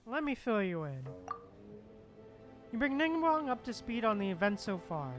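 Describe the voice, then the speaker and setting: A woman's voice: on the high side, big pitch swings, moderate pace. A woman streaming a video game and reacting casually to it. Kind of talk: casual reactions while gaming. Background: game music and effects.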